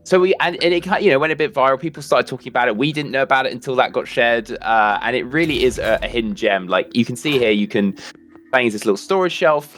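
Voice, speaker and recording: A man's voice: medium pitch, a bit bright. A man co-hosting a livestream, chatting enthusiastically over gameplay with lots of fillers and restarts. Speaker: livestream co-host. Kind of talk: casual chat, commentary over gameplay.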